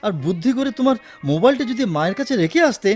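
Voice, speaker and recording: A man's voice: very high voice, animated, racing. A brooding husband and devoted son in a radio drama. He speaks hesitantly and melodramatically, heavy with worry. Music plays faintly behind him. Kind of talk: Bengali radio drama acting.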